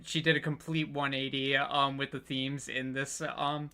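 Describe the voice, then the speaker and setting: A host chatting casually on a live stream, halting and hesitant, often repeating words before getting to the point. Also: mid-range voice, flat delivery, pushed and bright, smooth. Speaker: panel host.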